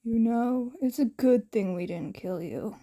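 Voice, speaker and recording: A calculating male villain, voiced by a woman narrator, speaking slowly in a muted tone. He is calm and coldly thoughtful, in the manner of an audio story. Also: fairly high pitch, lightly acted.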